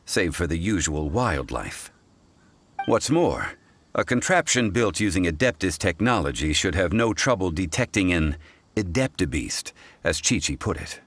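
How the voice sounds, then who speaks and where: An actor playing a composed, courteous, scholarly gentleman in a fantasy video game, speaking in a formal, measured, erudite way. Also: low-pitched, big pitch swings, slight background noise, full character voice. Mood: stern, composed. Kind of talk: video game character dialogue.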